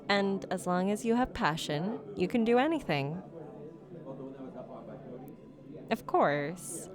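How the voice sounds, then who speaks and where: A geeky young silver fox acted by a woman talks in a slightly low female voice at a very leisurely pace. She is awkward and easily flustered, reaching for a mature, polite front in an ASMR date roleplay. A busy crowd murmurs clearly behind her. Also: natural voice. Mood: polite, interested. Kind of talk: ASMR roleplay, one-sided conversational acting.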